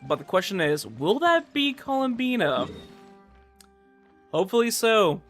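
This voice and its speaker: A young man livestreaming a game, chatting casually in a rambling, halting way with animated emphasis. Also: sits high, soft background music. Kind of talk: casual livestream chat over gameplay.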